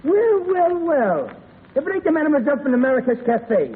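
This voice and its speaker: Radio-play legion sergeant: high, rapid, breezy tough-guy slang, tinny reverberant old recording. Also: very animated, a little hiss or hum. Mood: surprised, jovial. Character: brash, chatty Foreign Legion top sergeant. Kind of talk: 1930s radio drama acting.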